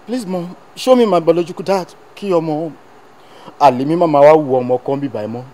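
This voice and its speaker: Casting: a young man as a desperate son, rather high voice, pleading and insistent. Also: fast talker, dark tone, lightly acted. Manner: pleading, repetitive, code-switching. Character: frustrated young adult son who loves music.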